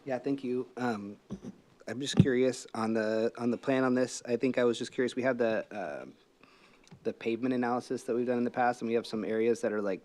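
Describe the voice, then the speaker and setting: A man's voice: medium pitch, fairly quick, darkish tone. An American man, a city council member, asking questions informally and tentatively, circling around his point in a meeting.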